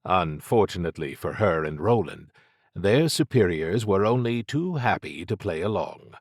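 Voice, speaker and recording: A man's voice: low-pitched, expressive, normal speed, no room sound, clean studio sound. A man narrating scripted fiction as an audiobook reader.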